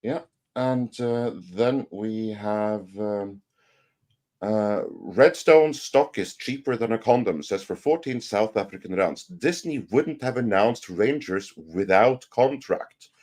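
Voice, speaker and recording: A man hosting a casual livestream panel, reading viewer messages aloud haltingly and adding brief comments. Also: fairly low pitch, a little mellow.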